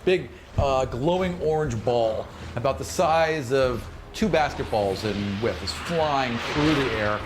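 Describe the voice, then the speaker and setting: A man improvising narration as game master of a tabletop fantasy game, casual and animated, acting out sound effects and reactions. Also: mid-range voice, a little slow, hardly any pauses. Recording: clearly noisy recording.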